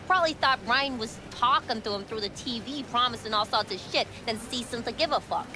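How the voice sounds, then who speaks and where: An actress playing a sarcastic, foul-mouthed market food vendor in a video game, blunt and slangy. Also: female voice, a little high, a little fast, natural voice. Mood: scornful. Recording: music behind the voice.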